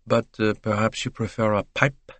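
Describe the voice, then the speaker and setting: A man's voice: fairly low pitch, flat delivery, normal speed, a bit bright, dry recording. A man plays a courteous detective in a storybook mystery. He is composed and probing, with formal, dry questions in a very dry-sounding recording. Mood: polite, probing.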